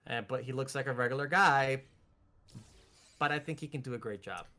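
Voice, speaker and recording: A man co-hosting a casual chat, excitable and given to repeating his phrases for emphasis. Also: medium pitch, fairly quick. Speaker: podcast co-host. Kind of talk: casual chat about video game movies.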